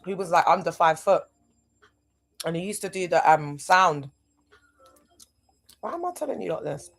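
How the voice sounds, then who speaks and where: A woman hosting a livestream, talking in a casual, animated way, full of rhetorical asides. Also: on the low side, moderate pace, creaky. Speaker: livestream host.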